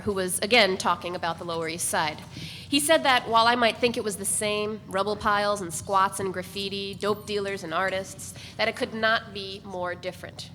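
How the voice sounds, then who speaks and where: A woman reading literary narration aloud at a steady, measured pace. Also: medium pitch, big-room sound, slight background noise.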